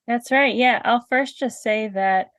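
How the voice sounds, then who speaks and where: A woman's voice: a little high, unhurried, fairly bright tone. A woman interviewed as a guest on a podcast, giving short friendly answers. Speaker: podcast guest, sauna owner. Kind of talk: casual interview.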